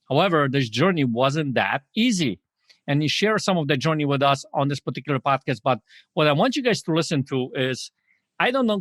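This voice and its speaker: A man's voice: mid-range voice, fairly bright tone, dry recording. A man hosting a podcast, talking in an enthusiastic, informal way with fillers and repeated phrases. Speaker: podcast host. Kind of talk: podcast interview.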